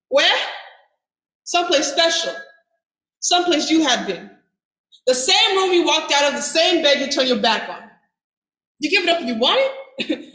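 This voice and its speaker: A woman's voice: sits high, a bit bright. A woman playing a wounded, proud young woman. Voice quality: pleading, bitter tone. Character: hurt, proud young woman confronting her lover. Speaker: student actor doing a monologue. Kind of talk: theatre audition monologue. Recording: echoey room.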